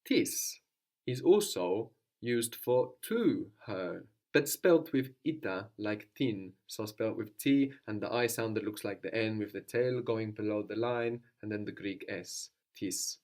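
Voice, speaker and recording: A man's voice: lowish voice, lively intonation, a little slow, darkish tone. A man teaching a language lesson, patiently prompting his student with questions and repeating the answers back.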